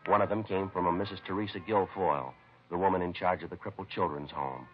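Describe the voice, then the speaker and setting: An actor playing a terse, businesslike police sergeant who narrates a 1950s radio crime drama in a deadpan, matter-of-fact way. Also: male voice, low-pitched, fast talker, natural voice. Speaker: narrator and lead police detective. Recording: dull vintage radio recording with music.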